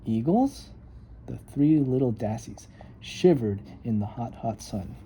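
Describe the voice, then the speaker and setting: A man reading a children's story aloud with expressive, emphatic narration. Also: medium pitch, unhurried, slight background noise, rough recording. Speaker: storybook reader.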